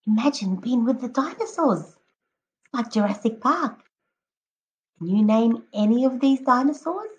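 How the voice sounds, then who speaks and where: A woman teacher reading a picture book aloud to children, expressively, addressing them directly and encouragingly with drawn-out emphatic words. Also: fairly high pitch, lively intonation, highs cut off. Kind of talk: expressive children's read-aloud with prompting questions.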